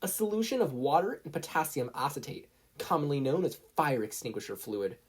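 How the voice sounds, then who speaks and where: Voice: mid-range voice, big pitch swings, a little fast, breathy edge, voice pushed up. Narrator voicing a poised, precise teenage girl. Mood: confident. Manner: precise, formal. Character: poised, confident, erudite teenage girl fighter.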